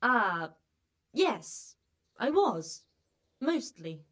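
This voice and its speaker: An actress playing a flustered peasant farm wife in a video game, dodging questions about a bandit raid. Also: female voice, fairly high pitch, sing-song, drawn-out words, fairly bright tone, heavily acted. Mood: evasive. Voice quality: evasive, flustered. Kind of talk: video game cutscene acting.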